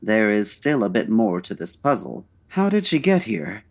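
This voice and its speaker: An actor playing a suave, unflappable gentleman detective in an old-time radio parody, speaking in a measured, formal, dryly amused way. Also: medium pitch, big pitch swings. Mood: probing.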